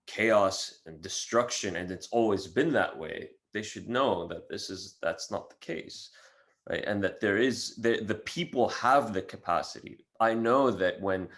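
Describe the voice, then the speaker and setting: A man from Syria, a podcast host, speaking reflectively and haltingly, with frequent restarts. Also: lowish voice, fairly bright tone. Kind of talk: podcast interview.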